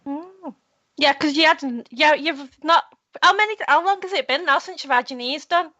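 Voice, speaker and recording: A woman's voice: sits high, moderate pace, bright, forceful tone, no room sound. A woman hosting an online radio show, friendly and giggly as she introduces guests and asks questions. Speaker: host.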